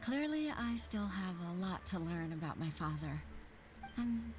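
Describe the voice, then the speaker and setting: A woman's voice: on the low side, sing-song, a little slow, over music. A woman voicing an earnest young official in a video game, sincere and eager to learn. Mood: grateful. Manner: sincere, warm-hearted.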